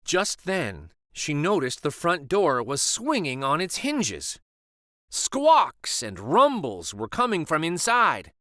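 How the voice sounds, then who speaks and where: Slow, expressive storybook-reading man. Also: fairly high pitch, no echo, clean studio sound. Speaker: children's read-aloud host. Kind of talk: storybook read-aloud narration.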